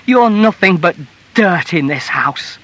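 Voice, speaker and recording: A man's voice: sits high, animated, slow pace, a bit bright, voice pushed up, full character voice. A man voicing an angry, reproachful working-class wife in a storybook reading, scolding with raised, emphatic delivery. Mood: furious, despairing.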